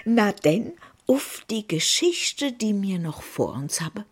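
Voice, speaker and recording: An actress playing a lively older woman, teasing her friend over drinks. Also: mid-range voice, slow, heavy background noise. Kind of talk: radio comedy sketch.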